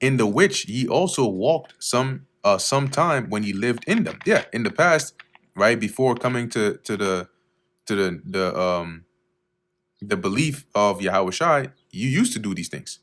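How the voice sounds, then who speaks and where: Guy with a lowish voice reading bible verses slow then explaining em casual, clears his throat a lot. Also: animated, fairly bright tone. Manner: reads scripture slowly, then explains casually with fillers. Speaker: Bible teacher.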